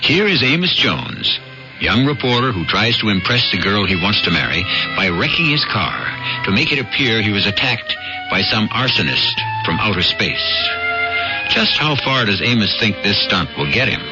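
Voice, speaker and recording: Male radio drama narrator, low voice, theatrical, wry, dull broadcast sound. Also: very animated, moderate pace. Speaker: host and narrator. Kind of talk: scripted old-time radio drama narration. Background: music.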